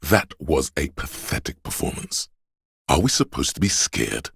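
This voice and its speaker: A man voicing a video game's inner voice of drama and deceit, grandiose, courtly and fawning, with a gravelly, husky delivery. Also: a bass voice, very animated, a little slow, a bit bright, no room sound, studio quality, exaggerated voice. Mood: scornful. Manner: grandiose, mannered, courtly, obsequious. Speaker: video game voice actor. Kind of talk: theatrical game character narration.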